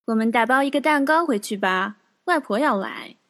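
A woman's voice: fairly high pitch, animated, moderate pace, fairly bright tone. A woman playing a practical, slightly weary mother in a children's audio drama, matter-of-fact, with music behind.

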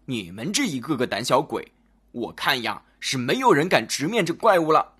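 Storyteller playing burly village braggart, emphatic, self-justifying, lively swooping pitch, storybook hero. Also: moderate pace, slightly rough, full character voice. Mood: confident boasting. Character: boastful burly warrior, cowardly underneath.

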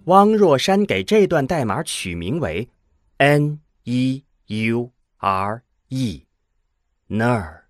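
Expressive, slow-paced male narrator. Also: medium pitch, no echo, studio quality. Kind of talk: scripted audio drama narration.

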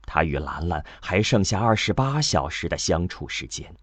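A man narrating a scripted radio drama in an emotive, deliberate storytelling manner. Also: low-pitched, very animated, dry recording.

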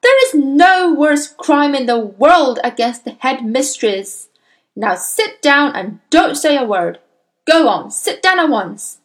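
A woman's voice: high-pitched, lively intonation, slow pace, voice pushed up, rough recording. A woman voicing an enraged, tyrannical headmistress in a storybook, bellowing venomous insults and bullying threats. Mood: bellowing fury.

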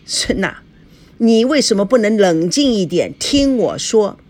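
A woman voicing a flustered male office manager in an audiobook, halting, exasperated and pleading. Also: on the high side, big pitch swings, breathy edge, voice pushed up, heavily acted. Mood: placating.

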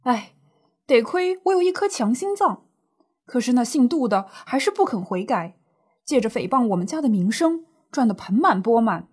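A woman plays an older small-business auntie in a radio drama, with a high voice that rises and falls widely. She grumbles and exclaims in homely, colloquial speech, plaintive and indignant at being wronged. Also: big pitch swings, moderate pace, a little mellow, husky. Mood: aggrieved, worried. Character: distressed, indignant older woman running a small snack shop.

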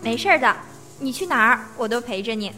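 A woman's voice: a little high, lively intonation, fast, bright, forceful tone, faint music behind. A woman playing a devoted, sympathetic young companion who speaks earnestly in an audio drama. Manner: supportive, earnest. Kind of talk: Chinese audio drama acting.

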